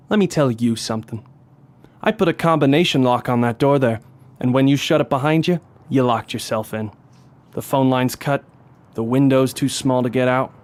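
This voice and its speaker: A man's voice: medium pitch, close to an everyday voice. A man playing a tough, sardonic police detective in a noir comedy audio drama, with a threatening edge. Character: tough, wry hard-boiled male police detective. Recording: music behind the voice.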